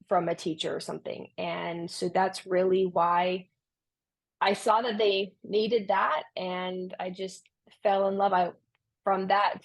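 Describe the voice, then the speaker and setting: Earnest gravelly-voiced woman guest. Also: on the low side. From Romania. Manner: earnest, with frequent restarts and fillers. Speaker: guest, tutoring business founder. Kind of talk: conversational interview answers.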